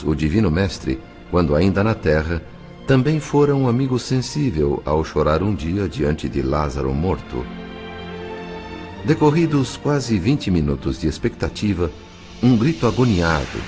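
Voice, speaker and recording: A low-pitched Brazilian man narrates a drama in solemn, literary phrases. His intonation swings expressively, and music plays clearly behind him. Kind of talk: dramatic scripted audiobook narration.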